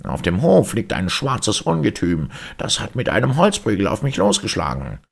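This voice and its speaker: A man's voice: lowish voice, wildly swooping pitch, normal speed, gravelly, slightly rough, pitched above the performer's own voice, exaggerated voice. A man voicing a frightened robber babbling his tale in a storybook reading. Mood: panicked. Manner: breathless, panicked telling. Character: frightened fleeing male robber.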